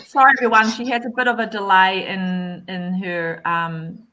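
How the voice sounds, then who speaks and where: Bright, upbeat woman hosting a video call. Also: mid-range voice, steady tone, moderate pace, rough recording. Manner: upbeat, chatty, encouraging. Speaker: host. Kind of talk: live online panel chat.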